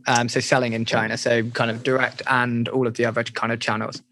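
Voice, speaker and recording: Guy co-host on a podcast, average pitch, casually asking interview questions. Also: little pitch movement, fairly quick, pushed and bright.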